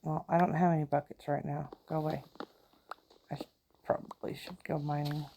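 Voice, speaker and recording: A woman's voice: low voice, little pitch movement, normal speed, gravelly, mellow, a little hiss or hum. A middle-aged woman with a country accent, chatting and giggling to herself as she plays a game. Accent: Southern US country. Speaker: gamer. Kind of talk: casual gameplay commentary.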